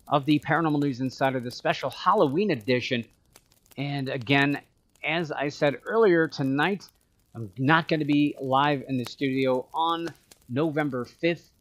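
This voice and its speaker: A man with a middle-pitched voice hosts a radio show. He talks in a deliberate way, setting out his scripted lines phrase by phrase. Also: a bit bright. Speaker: paranormal news show host.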